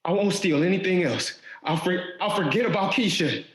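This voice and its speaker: An earnest, vulnerable teenage schoolboy played by a man in an audio drama. His voice sits slightly high and he tends to stammer. The sound is roomy and echoing. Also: moderate pace, close to an everyday voice. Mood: pleading. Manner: earnest, stammering when pressed. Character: hurt, pleading teenage schoolboy, earnest younger brother.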